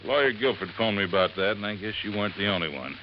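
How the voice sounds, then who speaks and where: An actor playing a folksy, earnest boat-landing owner in 1950s radio drama, telling things in a plain, halting, emphatic way. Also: male voice, fairly low pitch, lively intonation, close to an everyday voice. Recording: dull, noisy vintage radio recording.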